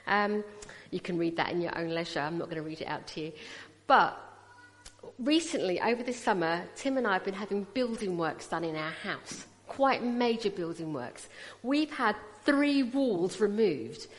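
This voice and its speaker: A woman's voice: medium pitch, animated, normal speed. A woman in her forties from Punjab, India, with a British English accent, giving a chatty personal testimony as a guest speaker at church and teasing the audience to react. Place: church hall, indoors. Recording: long reverb of a large hall.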